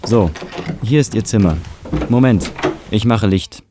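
A man's voice: on the low side, a little slow, noisy background. Polite male porter with door noises. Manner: polite, guiding. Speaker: hotel porter in course dialogue. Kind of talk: scripted textbook dialogue.

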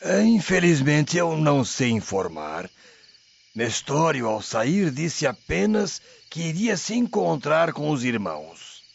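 An actor playing a humble, obliging old male slave in a radio drama, with a gravelly, husky voice. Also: on the high side, big pitch swings, slow pace, heavily acted. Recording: dull lo-fi radio drama audio.

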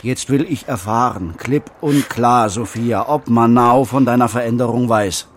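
A man's voice: mid-range voice, unhurried, a little hiss or hum, close to an everyday voice. An actor playing a stern, suspicious man in a radio play, questioning in a severe, probing manner with formal diction. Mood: demanding. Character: stern, suspicious man interrogating a young relative. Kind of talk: German radio play acting.

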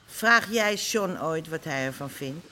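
An actress playing a middle-aged, worried and nagging gangster's wife in a Dutch radio drama. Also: female voice, deep voice, animated, measured pace, pushed and bright, full character voice. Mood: reproachful. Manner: scolding, caring.

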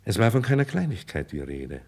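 Grave, low-voiced old courtier. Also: male voice, sing-song, a little mellow. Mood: confiding, concerned. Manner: deliberate, deferential, formal. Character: grave, loyal older count, a longtime courtier. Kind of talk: classical German radio drama acting, 1950s.

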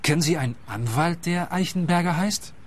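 An actor playing a naive, bumbling detective from Germany, earnest and literal-minded, in a radio play. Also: male voice, fairly high pitch, fairly quick, a little hiss or hum, natural voice.

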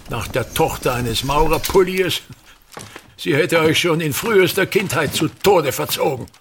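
An actor playing a pompous, bitter, tyrannical ageing actor-manager in a radio play, declaiming and haranguing with a husky voice. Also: male voice, mid-range voice, a bit bright, full character voice. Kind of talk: theatrical radio play monologue.

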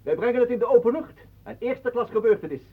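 Pompous ballet master, theatrical declaiming, husky, phone-like radio drama recording. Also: male voice, extremely high pitch, animated, fast talker, heavily acted. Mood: grandiose, exalted. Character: pompous male self-styled ballet master, grandiose and mocking. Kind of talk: radio play acting.

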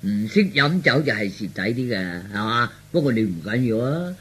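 A man's voice: on the low side, animated, moderate pace, slightly rough, voice pushed down. A man voicing a boastful, hard-drinking middle-aged father who dotes on his daughter, with hearty, tipsy banter in Cantonese storytelling drama.

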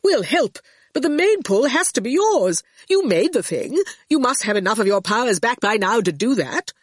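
A woman voicing a theatrical, quick-tempered young man in an imperious, exclamatory manner for a storybook. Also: a little high, sing-song, no echo, studio quality, pitched above the performer's own voice. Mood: brisk.

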